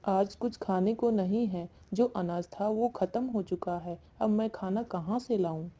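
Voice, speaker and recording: A woman's voice: medium pitch, unhurried, mellow, natural voice. A woman voicing a scheming, grudging young village wife in a story cartoon, with a cold, complaining manner. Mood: dismissive. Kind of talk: Hindi moral-story cartoon dialogue.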